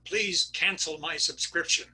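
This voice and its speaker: Husky, very bright high-voiced older man. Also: unhurried. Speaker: readers theater performer. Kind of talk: performed reading of jokes and stories. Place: home, on a video call. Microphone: video call.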